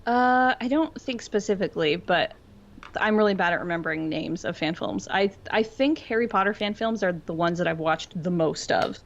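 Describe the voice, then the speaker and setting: A woman's voice: mid-range voice, normal speed, lo-fi sound. A woman co-hosting a podcast in casual chat, gushing and informal, peppering her talk with "like". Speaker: podcast co-host.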